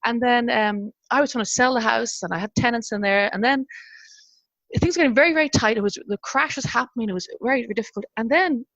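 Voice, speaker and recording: A woman's voice: on the high side, fast talker, fairly bright tone, dry recording, dull audio. An Irish woman in her fifties, a guest telling her story in a casual interview and laughing often. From Ireland. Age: 50s.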